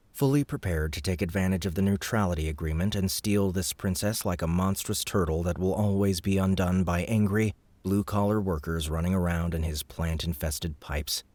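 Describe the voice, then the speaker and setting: A man narrating an audiobook in a steady, measured storytelling manner, close to the mic. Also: low-pitched, dry recording. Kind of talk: scripted narration.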